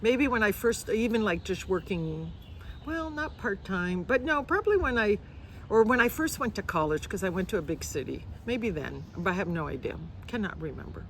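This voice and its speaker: A woman's voice: medium pitch, very animated, normal speed, a little hiss or hum. A woman in her sixties from Canada, musing through interview answers and dropping her voice at the ends of thoughts. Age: 60s. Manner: musing, drops to a near-whisper.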